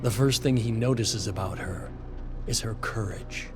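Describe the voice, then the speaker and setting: A man narrating a dramatic story from a script in a measured, solemn way. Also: on the low side, over music.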